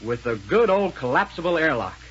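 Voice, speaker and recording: A man playing a brisk, folksy space adventurer hero in a 1930s radio serial. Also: fairly high pitch, very animated, a bit bright. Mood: eager, explaining. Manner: folksy, decisive. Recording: muffled, noisy 1930s radio recording.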